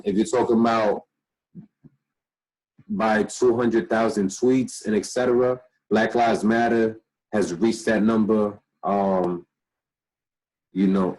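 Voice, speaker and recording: A man's voice: on the low side, darkish tone, husky, low-quality recording. A tired young man teaching an online class, reading passages aloud and then explaining them in loose, slangy talk with slightly slurred words, recorded on a laptop video call. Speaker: instructor. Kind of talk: casual online lecture reading from web pages.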